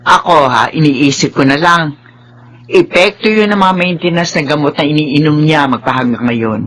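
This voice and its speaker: An actor with a Filipino accent playing a patient, understanding family member in a radio drama, measured and conciliatory. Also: male voice, on the high side, a bit bright. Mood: patient, concerned.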